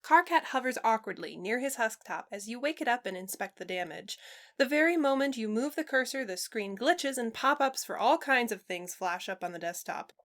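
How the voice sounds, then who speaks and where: Woman, fiction narrator, raised pitch, crisp bright tone, expressive storytelling. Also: normal speed.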